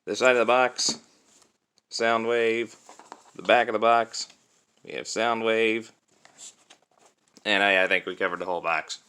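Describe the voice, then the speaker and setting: A young man giving a casual, unscripted toy review in a chatty, halting way, recorded on a cell phone. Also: on the low side, fairly even intonation, sharp tone, clean tone. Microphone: cell phone used as camera.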